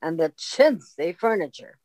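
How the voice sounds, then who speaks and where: A woman's voice: fairly low pitch, animated, measured pace, a little mellow, dry recording. A woman playing a clipped, disdainful society lady in an old-time radio comedy. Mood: prim disapproval. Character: brisk, snooty society lady sidekick.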